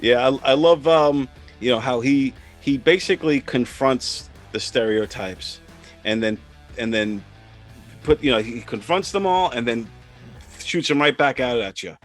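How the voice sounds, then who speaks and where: Halting male host in casual chat. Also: mid-range voice, faint music behind. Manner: halting, repeats words.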